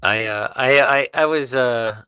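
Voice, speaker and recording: A man's voice: mid-range voice, a little slow, bright, forceful tone, clear, resonant tone. A man in casual post-game chat, enthusiastic and full of compliments. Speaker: tabletop roleplay player.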